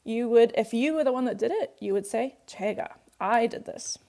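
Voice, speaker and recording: A woman's voice: on the high side, a little fast, vocal fry, dark tone. Patient woman teaching a language lesson. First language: English. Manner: patient, walks through examples step by step, repeats key points. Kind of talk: explanatory workbook lesson.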